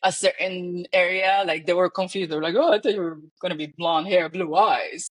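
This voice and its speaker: A woman from the Dominican Republic with a Dominican accent, a guest telling stories in a relaxed interview and laughing often. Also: fairly low pitch, a little fast. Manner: animated storyteller, laughs often, acts out quoted people. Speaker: guest, real estate founder. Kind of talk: conversational interview.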